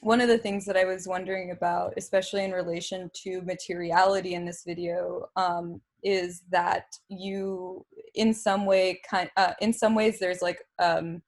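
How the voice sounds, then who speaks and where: A woman's voice: fairly low pitch, level tone, normal speed, few pauses. A woman hosting a podcast, asking long, rambling questions full of fillers and restarts. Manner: rambling, hesitant, many fillers. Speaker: podcast host. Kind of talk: casual conversation.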